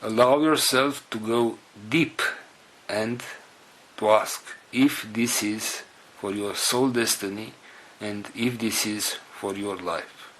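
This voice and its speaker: Very slow, low-voiced earnest man. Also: a bit bright, low-quality recording. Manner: halting, earnest, phrases broken by pauses. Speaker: spiritual group leader. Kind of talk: spiritual address to group members.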